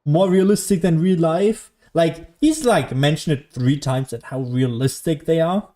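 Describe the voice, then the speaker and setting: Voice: mid-range voice, unhurried, warm tone. A person giving casual, dry, joking commentary while playing through a video game. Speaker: gaming video maker.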